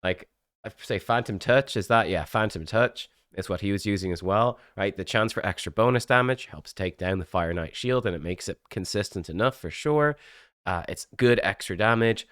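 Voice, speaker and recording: A man with a stuffy, slightly rough voice from a cold, giving a chatty, rambling breakdown of a game event as a gaming channel host. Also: lowish voice, normal speed, a bit bright, dry recording, studio quality. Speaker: gaming YouTuber. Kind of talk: casual game commentary.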